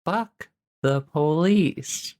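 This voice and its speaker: A man's voice: on the high side, very slow, darkish tone. A man from the United States co-hosting a podcast, talking bluntly and profanely in casual chat. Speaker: podcast co-host.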